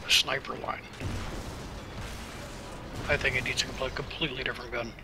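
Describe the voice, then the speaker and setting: Gravelly monotone guy on a noisy voice chat, gaming with friends. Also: medium pitch, a little fast. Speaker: teammate on voice chat.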